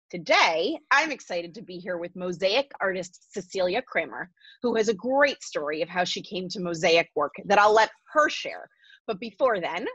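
A woman's voice: medium pitch, a bit bright, husky, dry recording. A woman in her thirties hosting with a polished, upbeat, smiling delivery of a prepared introduction. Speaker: host, arts organisation director. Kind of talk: scripted event introduction. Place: indoor room at home. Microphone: wireless earbuds on video call. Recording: dull video-call audio.

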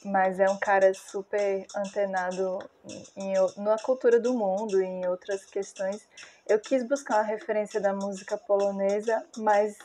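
A Brazilian woman in her thirties with a Brazilian Portuguese accent, a music producer calmly explaining how she built a track, recorded on an earphone mic in a small room. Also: mid-range voice, a little hiss or hum. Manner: calm, measured, unhurried. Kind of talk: casual explainer of a music project.

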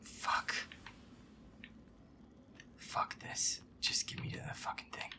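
A man muttering sarcastic, sweary gameplay commentary in a breathy, casual way, close to the mic. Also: slow pace, whispered. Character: feisty werewolf boyfriend playing a scary game. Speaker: gamer playing a horror game.

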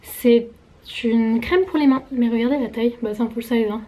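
Young woman, home vlog, slightly stuffy, a bit high voice, faint background noise, camera at arm's length. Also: fairly even intonation, moderate pace. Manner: chatty, talks to camera and to her baby. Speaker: vlogger. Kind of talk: casual vlog chat. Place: home bedroom indoors. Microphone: handheld camera at arm's length.

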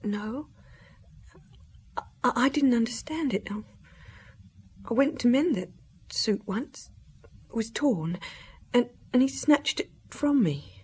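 An actress playing a grieving, bewildered young French wife in a radio drama, halting, breathy and tearful. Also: female voice, on the high side, expressive, darkish tone, full character voice. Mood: distressed.

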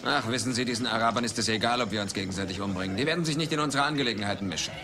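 An actor playing a bitter, sardonic adventurer hero in a dubbed film, with a dry, terse delivery. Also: male voice, mid-range voice, very fast, a crowd in the background, lightly acted. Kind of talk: dubbed 1980s film dialogue.

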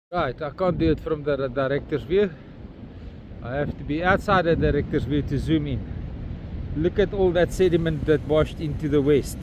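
A blunt, swearing South African man in his fifties, with a slightly high voice, rants into a phone held at arm's length. He is outside on a beach, and clear wind and outdoor noise run under his voice. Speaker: vlogger. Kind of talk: outdoor walking vlog. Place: windy beach at an estuary mouth, outdoors. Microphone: phone camera held at arm's length.

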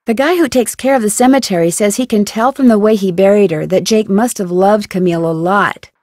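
A composed young American woman detective from a mystery game, played by an actress. She speaks at a medium pitch, calmly laying out what she knows. The recording is dry and close. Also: brisk, dry recording, natural voice. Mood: calm, informative. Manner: calm, clear-headed, explanatory. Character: composed, sensible young female amateur detective. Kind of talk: scripted video game voice acting.

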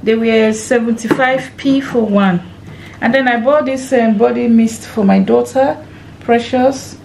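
A woman's voice: on the high side, measured pace, gravelly, a little mellow, a little hiss or hum, rough recording. Chatty mum showing her grocery haul. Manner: chatty, counts items aloud, addresses viewers as guys. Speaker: lifestyle vlogger and mum. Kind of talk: casual grocery haul narration. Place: home kitchen, indoors.

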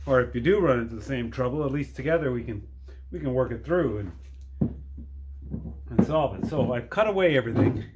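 Middle-aged male DIY maker, mid-pitched, casual and halting delivery while working, light workshop noise on a camera mic. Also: animated. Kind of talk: casual hands-on workshop demonstration. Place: cluttered workshop with dirt floor.

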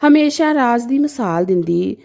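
A woman storyteller delivers a dramatic first-person narration. Her voice sits on the higher side and is soft and mellow in tone. The pitch rises and falls in a lively way as she tells the scripted story. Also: normal speed, gravelly.

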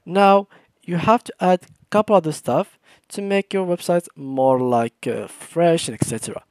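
A man's voice: a little high, moderate pace, darkish tone, dry recording. Man talking through a screen tutorial. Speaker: tutorial host. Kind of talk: screen-recorded software tutorial.

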